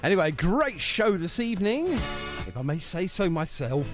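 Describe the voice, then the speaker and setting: A man's voice: high-pitched, very animated, moderate pace. A man hosting a radio show in a chatty, jovial, bantering manner. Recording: music playing underneath the voice. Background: music bed.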